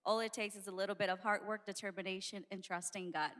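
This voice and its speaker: A woman's voice: mid-range voice, steady tone, quick pace, a bit bright. A woman in her twenties from Honduras, a student sharing a heartfelt testimony into a handheld mic on stage. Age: 20s. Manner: heartfelt, a bit shy. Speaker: student panelist. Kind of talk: prepared testimony. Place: large stage hall, indoors.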